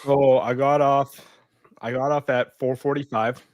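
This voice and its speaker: A man's voice: medium pitch, level tone, a little slow, darkish tone, no room sound, clean studio sound. A man chatting casually as a panelist. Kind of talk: casual chat.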